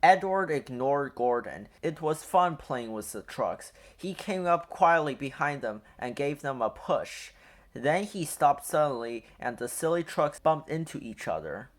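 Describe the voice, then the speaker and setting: Animated, unhurried male storybook reader. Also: fairly high pitch. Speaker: children's book reader. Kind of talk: animated storybook read-aloud.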